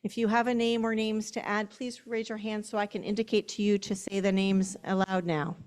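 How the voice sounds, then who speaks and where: A woman rabbi leading a service, reading and reciting prayers in a solemn, measured, formal way with careful enunciation. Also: medium pitch, flat delivery, darkish tone.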